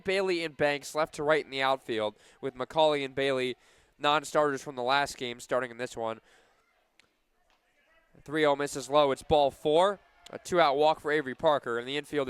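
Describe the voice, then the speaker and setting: A man calling live softball play-by-play for radio, measured between pitches and animated on big plays. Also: smooth, dry recording.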